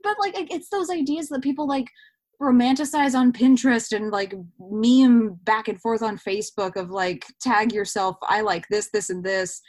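A woman's voice: on the high side, fairly even intonation, normal speed, darkish tone. A woman talking casually in an interview, illustrating her points by acting out imagined remarks. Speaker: interview participant, actor.

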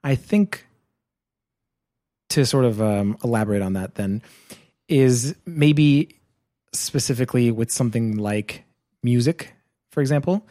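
A man hosting a podcast, thoughtful and unhurried as he talks his ideas through. His voice is mellow and dark, and the close mic sounds dry, with no room around it. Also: medium pitch, no echo. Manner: thoughtful, works through questions aloud. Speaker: podcast host. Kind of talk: casual chat.

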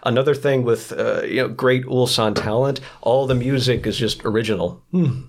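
A man's voice: mid-range voice, steady tone, normal speed. Man chatting casually as a guest. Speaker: podcast guest, writer and director. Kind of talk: casual interview chat.

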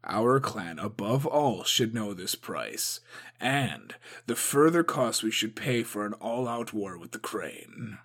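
A man's voice: lowish voice, expressive, takes it slowly, a bit bright, full character voice. A man voicing a stern old male samurai who growls his words. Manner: growling, gruff. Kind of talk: audiobook character voice.

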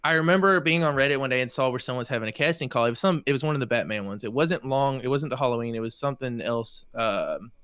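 A man's voice: mid-range voice, animated, quick pace, few pauses, fairly bright tone, clean tone, no room sound. A man hosting an interview podcast, chatty and rambling with frequent restarts. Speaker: podcast host.

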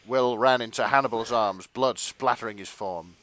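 Male narrator, bright and forceful, mid-pitched, for silly fan fiction and loose banter, dry recording. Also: normal speed, dry recording. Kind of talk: comic fan fiction narration and casual chat.

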